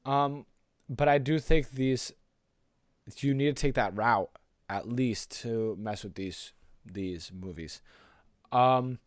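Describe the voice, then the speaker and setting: A man's voice: medium pitch, slow, long pauses, no room sound, clean studio sound. A man co-hosting a podcast in casual chat, loosely thinking aloud and often hesitating over his words. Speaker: podcast co-host.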